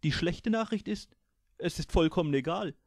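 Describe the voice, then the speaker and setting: A man's voice: a little high, moderate pace, no echo, studio quality, pitched above the performer's own voice. A man voicing a pompous, gloomy male navigator who lectures his crew in a solemn tone. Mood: lecturing.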